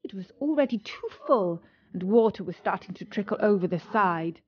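A woman's voice: mid-range voice, expressive, moderate pace, lo-fi sound. A woman narrating a scripted story as a storyteller.

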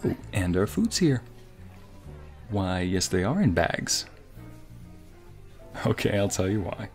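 A man acting a sweet, bashful young waiter on a romantic date in an ASMR roleplay, with a soft, breathy, playful and hesitant delivery. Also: deep voice, sing-song, slow pace, faint music behind, full character voice. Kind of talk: ASMR roleplay, intimate scripted boyfriend monologue.

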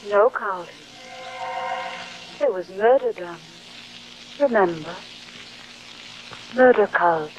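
An actress playing the accusing, haunting ghost of a murdered wife in old television horror style. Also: female voice, medium pitch, lively intonation, slow pace, pauses often, muffled recording. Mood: accusing, eerie. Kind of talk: 1950s television horror acting. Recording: faintly hissy old television soundtrack.

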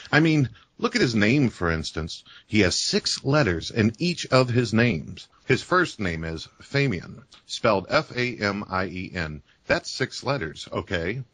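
A man's voice: on the low side, fairly bright tone, no echo, heavily acted. An actor playing an adamant man lecturing on a conspiracy, emphatic and certain. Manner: emphatic, certain, spelling things out. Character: adamant male conspiracy-minded believer, lecturing.

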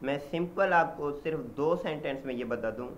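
A young man with a Pakistani accent gives an informal lecture, halting and explanatory, restating points simply and mixing in English terms. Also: on the high side. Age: young adult. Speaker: law lecturer. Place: small indoor office. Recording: dull lo-fi audio with background noise.

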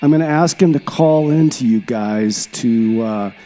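Casting: male actor as laid-back time-traveling caller, soft mellow tone, offhand rambling, faint guitar music underneath. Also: medium pitch, a little slow, close to an everyday voice. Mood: casual, rambling. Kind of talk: casual comedy radio monologue.

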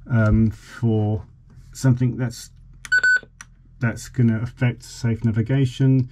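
A man's voice: medium pitch, flat delivery, slow pace. A man in his forties giving an informal, slightly hesitant explanation as he demonstrates equipment in a vlog. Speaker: vlogger. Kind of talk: informal demonstration of a boat radio. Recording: clearly noisy background.

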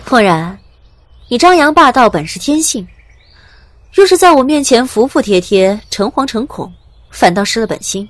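A woman playing an ancient, indulgent goddess in an audiobook drama, measured and authoritative. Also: on the high side, expressive, lightly acted. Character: ancient true goddess, commanding, indulgent, unhurried.

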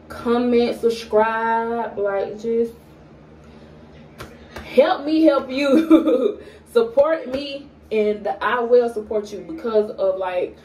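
Slow-talking young woman from Atlanta. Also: medium pitch, warm tone, distant mic, a little hiss or hum. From Atlanta, Georgia. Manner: unhurried, with frequent fillers and pauses; stutters at times. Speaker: vlogger. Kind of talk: casual get-to-know-me chat to camera. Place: small indoor room at home. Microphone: camera in front at arm's length. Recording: echoey room, voice a bit off-mic.

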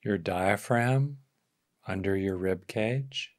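A man with a fairly low voice leads a guided meditation. He speaks very slowly, offering gentle instructions in short phrases and leaving long pauses between them. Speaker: meditation teacher.

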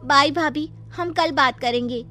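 A woman voicing an anxious young sister of an Indian family in an animated horror story, with music underneath. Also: high voice, a little slow. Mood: nervous.

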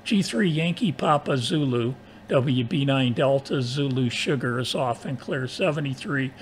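An older American man chatting casually as a ham radio operator, in a halting manner with throat clearing. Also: mid-range voice, slight background noise. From United States.

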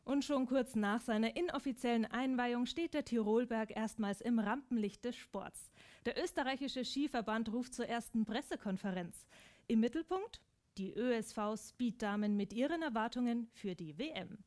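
A woman's voice: a little high, normal speed, darkish tone. A woman reading a scripted news voice-over.

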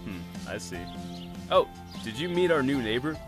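A man's voice: mid-range voice, expressive, moderate pace, lightly acted. Chummy, teasing brother voiced by a man. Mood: friendly, casual. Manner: chummy, casual. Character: boisterous, friendly, teasing brother. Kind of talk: animated comic dub acting. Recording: music behind the voice.

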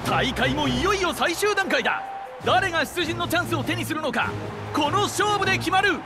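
Very high-pitched, excited arena announcer. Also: male voice, moderate pace. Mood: rousing. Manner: emphatic, projected, formal. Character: excited male tournament announcer, bold and theatrical. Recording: game cutscene under loud music.

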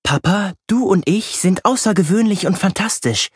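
A male reader acts out a superhero mother in a children's audiobook, raised in pitch and dry in sound. She explains things in a composed, placating way. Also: lively intonation, moderate pace, breathy edge, dry recording.

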